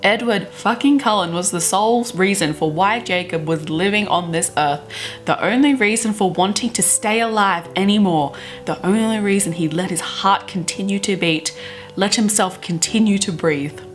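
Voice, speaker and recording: A woman's voice: mid-range voice, normal speed, soft background music. Theatrical young woman reading aloud, chatty. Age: 20s. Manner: theatrical, giggly, gushing. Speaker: book vlogger. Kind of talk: dramatic reading of fan fiction with chatty asides. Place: bedroom, indoors.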